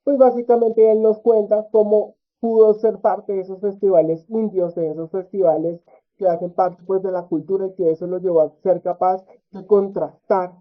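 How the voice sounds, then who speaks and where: A man giving an academic research presentation at a conference, halting often with filler sounds and tag questions. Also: high voice, little pitch movement. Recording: tinny phone-like audio.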